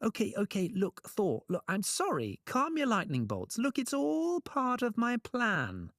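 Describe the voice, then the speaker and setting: Storybook trickster god: high, swooping, smarmy, mock-polite, always wheedling his way out. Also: wildly swooping pitch, a little slow, no echo, clean studio sound, exaggerated voice. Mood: pleading. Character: sly, smarmy trickster god of mischief, male.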